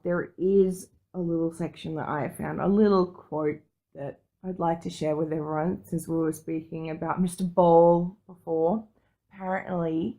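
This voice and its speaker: A woman with a slightly low voice co-hosts a geek podcast. Her talk is casual and informal, and she states her opinions freely. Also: darkish tone. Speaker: podcast co-host.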